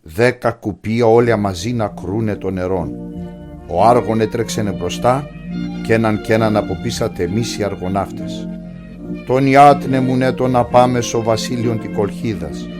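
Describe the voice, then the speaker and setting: A man plays a heroic ship's leader telling his own legend for a cartoon. His voice sits at a middle pitch with a Pontic Greek accent. The delivery is solemn and epic, over music. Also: natural voice. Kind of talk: storybook cartoon narration.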